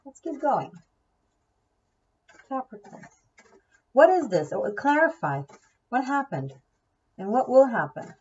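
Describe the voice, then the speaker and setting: A woman's voice: high voice, sing-song, takes it slowly, long pauses, a little mellow, slightly rough, far from the mic, dull audio. A woman whose first language is Hebrew, giving a casual tarot reading, chatty and thinking aloud, often repeating key words. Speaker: tarot reader.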